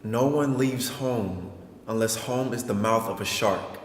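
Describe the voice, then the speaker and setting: A young man performing a dramatic spoken-word stage monologue with emphatic, deliberate phrasing and pauses. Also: lowish voice, slight background noise. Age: young adult. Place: theater performance space. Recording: echoey hall with long reverb.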